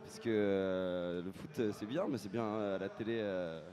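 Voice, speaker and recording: A man, a comedian guest, talking in a deadpan, joking way with frequent hesitations. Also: lowish voice, moderate pace. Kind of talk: casual interview chat. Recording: crowd noise behind.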